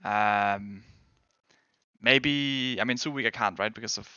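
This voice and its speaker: A man's voice: lowish voice, slow, bright, forceful tone, smooth, no room sound, highs cut off. A young man from Denmark with a Danish accent, streaming and chatting casually with viewers in a rambling, hedging way. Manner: rambling, hedging, self-correcting. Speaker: game streamer and pro player. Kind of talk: casual chat answering viewers.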